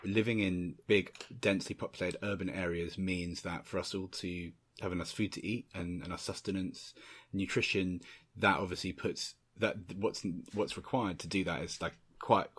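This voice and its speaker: A man's voice: deep voice, a bit bright, slightly rough. A man hosting an interview podcast, asking conversational, loosely phrased questions. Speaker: podcast host.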